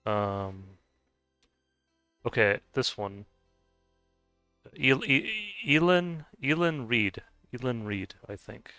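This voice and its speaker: Man, game streamer, slow unhurried talk, smooth clear voice, dry close mic, faint music behind, hesitant. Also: medium pitch, very animated, pushed and bright, dry recording. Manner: hesitant, stumbling over name pronunciations, chuckling. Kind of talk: casual live-stream chat.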